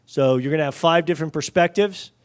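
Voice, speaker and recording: Man, moderator, smooth clear voice, filler-heavy, conference panel. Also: a little high, fast. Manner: loose, filler-heavy delivery with military metaphors. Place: conference panel in front of an audience.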